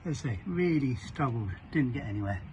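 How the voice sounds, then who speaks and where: A man's voice: mid-range voice, animated, brisk, darkish tone. A man presenting an informal hands-on DIY walkthrough, sometimes hesitant and feeling for words as he works. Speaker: presenter of a boat fitting shop's how-to videos. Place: aboard a small cabin motorboat. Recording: dull, lo-fi camera sound with faint noise.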